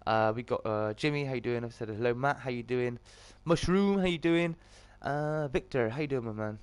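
A British man with a slightly croaky, just-woken voice, hosting a casual livestream and greeting viewers chummily. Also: medium pitch, normal speed, no echo. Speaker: live streamer and host.

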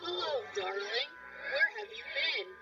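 A woman's voice: extremely high pitch, wildly swooping pitch, unhurried, gravelly, lo-fi sound, over loud music, exaggerated voice. A woman voicing a sinister impostor posing as a sweet mother bee, sugary and coaxing, in a horror cartoon. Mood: falsely sweet.

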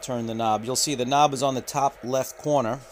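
A man in an indoor room, describing gear at an unhurried pace and pausing often with fillers. His voice sits at an ordinary middle pitch for a man. A faint hiss of room noise runs underneath the camera-mic recording. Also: fairly even intonation. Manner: unhurried, many filler pauses. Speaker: radio reviewer. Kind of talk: unboxing walkthrough.

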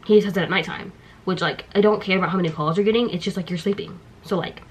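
Young woman, casual vlog chat, animated, quick asides, small dorm room, close camera. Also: fairly low pitch, breathy edge. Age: young adult. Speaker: vlogger. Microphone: handheld camera close up.

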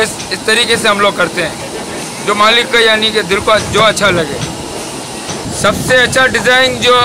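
A middle-aged man from Pakistan who paints boats, explaining his work in a plain, halting way with restarts, recorded outdoors in a boatyard on a camera mic. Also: high voice, background noise. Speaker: boat painter.

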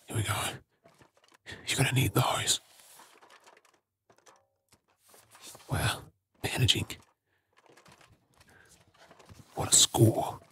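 Wary Australian male survivor, acted by a man for zombie ASMR drama: breathy, husky whispering in slow, terse phrases. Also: medium pitch, fairly bright tone. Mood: focused, relieved. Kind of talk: whispered ASMR role play.

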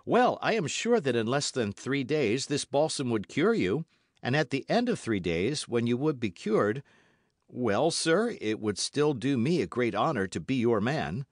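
A man's voice: mid-range voice, big pitch swings, unhurried, no echo, studio quality, pitched above the performer's own voice. A man voicing an earnest, courteous young Gascon swordsman, bold and eager, in an adventure story. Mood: timid, earnest. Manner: polite, simple, eager.

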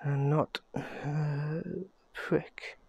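A man in his thirties talking to a handheld phone camera outdoors in a pine forest, in a slow, muttering casual vlog and swearing under his breath. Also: fairly high pitch, completely flat delivery, a little mellow, breathy edge, no room sound, dull audio. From Scotland. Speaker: vlogger.

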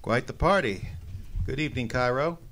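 An actor playing a tough, wisecracking private detective in a noir radio play, terse and commanding. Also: male voice, mid-range voice, moderate pace, a bit bright, a little hiss or hum, close to an everyday voice. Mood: cocky, defiant.

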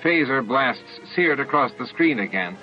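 A man's voice: mid-range voice, animated, a little slow. A man reading scripted fiction aloud as a dramatic audiobook narrator. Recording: dull lo-fi old audiobook tape. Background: music and added sound effects.

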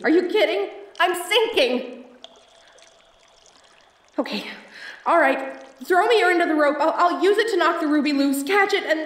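Woman acting a theatrical femme fatale, roomy echo. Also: high voice. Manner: theatrical, pleading or teasing. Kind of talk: audio roleplay acting addressed to the listener. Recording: roomy echo, distant from mic.

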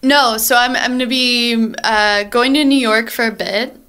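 A woman's voice: on the high side, fairly even intonation, unhurried, pushed and bright, clear, resonant tone. An American woman from Texas, a comedian guest chatting casually and bluntly on a live radio show, with wry humour. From Texas, United States. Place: radio studio, live broadcast.

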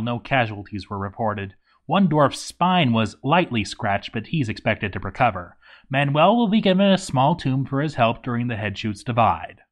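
A man reading a game story aloud as dramatic narration, with theatrical emphasis and deliberate pauses. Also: medium pitch.

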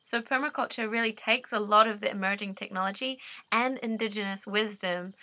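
A woman's voice: mid-range voice, fairly quick, pushed and bright, no echo. A young woman with a New Zealand accent, a permaculture designer giving an unscripted, earnest talk to her laptop camera in a dim room, often restarting as she searches for words. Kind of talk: unscripted explanatory monologue. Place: dim indoor room, seated. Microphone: laptop webcam at desk.

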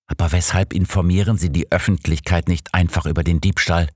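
A man's voice: low voice, steady tone, fairly quick, slightly rough, no echo, clean studio sound. A man voicing a detective's doctor companion in an audiobook, polite and questioning. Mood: curious.

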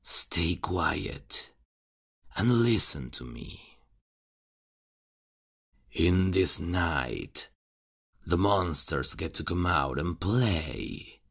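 A man playing a menacing, mocking male serial killer in an ASMR horror roleplay, taunting and chuckling in a hushed voice. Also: deep voice, expressive, very slow, vocal fry, pauses often, husky, heavily acted.

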